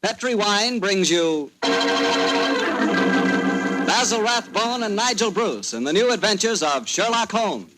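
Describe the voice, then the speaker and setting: Lively 1940s male radio pitchman. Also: measured pace, pauses often, loud music behind the voice, poor audio. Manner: folksy salesmanship. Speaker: radio announcer and sponsor's pitchman. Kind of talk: 1940s radio advertising announcer.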